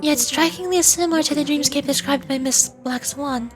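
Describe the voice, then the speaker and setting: Woman as shrewd female leader, composed, analytical, high voice, video game script read aloud, music behind. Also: natural voice. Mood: serious, wary. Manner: analytical, deliberate, polite.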